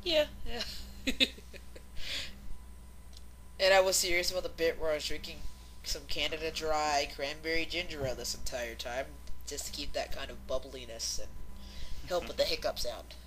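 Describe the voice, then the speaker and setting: A woman's voice: sits low, moderate pace, pauses often, fairly bright tone, slight background noise, rough recording. A woman chatting casually and informally as a co-reader after a story. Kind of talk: casual chat after a reading.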